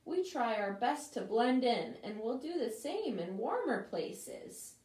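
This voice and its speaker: Woman doing little hidden bugs, high, playful, swooping, echoey. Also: sing-song, takes it slowly, darkish tone. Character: shy little camouflaged bugs. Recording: roomy, distant mic.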